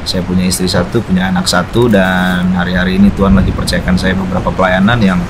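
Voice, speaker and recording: A middle-aged man from North Sumatra, a pastor chatting as a guest, joking and laughing easily, then slowing to explain his views thoughtfully. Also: low-pitched, completely flat delivery. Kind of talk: casual chat. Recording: noisy background with passing vehicles.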